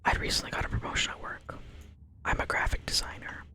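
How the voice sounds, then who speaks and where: A young woman telling a long personal story in a soft ASMR whisper, wandering off into asides as she goes. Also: fast talker.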